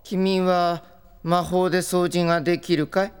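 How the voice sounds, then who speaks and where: A gentle young prince with a lightly high, very smooth voice. He sounds listless and mildly apologetic, in comedic audio drama style. His voice rings in a long hall reverb. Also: little pitch movement, a little slow. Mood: mild, weary.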